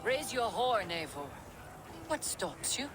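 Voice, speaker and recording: A male Viking feast companion, hearty and unhurried. The voice is high for a man, with music clearly behind it in a game scene. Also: big pitch swings, heavily acted. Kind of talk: game cutscene acting.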